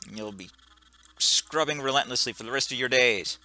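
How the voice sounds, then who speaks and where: A man's voice: mid-range voice, a little fast, pushed and bright, low-quality recording. A man casually commenting as he plays a game, joking at himself and tripping over words. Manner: joking, self-mocking, trips over words. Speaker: let's play gamer.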